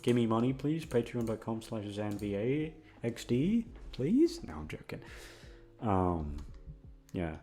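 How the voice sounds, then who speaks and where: A man's voice: on the low side, sing-song, slow, warm tone. A young man rambling in a casual chat, with a goofy, self-deprecating and sweary way of talking. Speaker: audio content creator. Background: background music.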